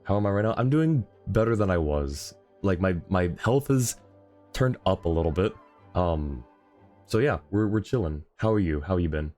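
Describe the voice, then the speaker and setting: Low-voiced man chatting on a game stream. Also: animated, moderate pace, a little mellow, soft background music. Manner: chatty, thinks aloud, reads out chat. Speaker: game livestreamer. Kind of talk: casual livestream chat and game commentary.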